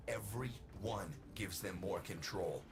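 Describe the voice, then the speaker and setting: A man's voice: low voice, a little slow. A man playing a streetwise ally who coaches the player through a sci-fi video game mission. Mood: urgent, coaching. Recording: quiet, under loud game music and gunfire.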